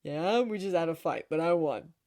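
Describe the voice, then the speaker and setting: A woman's voice: deep voice, lively intonation, moderate pace, mellow. A woman voicing a sheepish, boastful devil king and father with flustered, defensive bravado. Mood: cocky.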